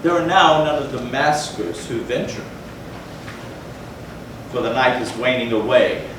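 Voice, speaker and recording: Distant echoing man giving theatrical gothic reading. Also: medium pitch. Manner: measured, theatrical, deliberate phrasing with pauses. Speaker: readers theatre performer. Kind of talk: dramatic stage reading of a gothic tale. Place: large echoey room, live stage performance. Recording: echoey hall from far off, noisy.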